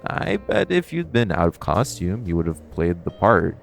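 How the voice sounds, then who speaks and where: A man's voice: low-pitched, very animated, vocal fry, faint music behind, exaggerated voice. A man playing a flirty, cocky supervillain thief in an audio roleplay, chatting casually and teasingly to the listener. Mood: curious, needling. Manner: casual, teasing, conversational with playful pauses.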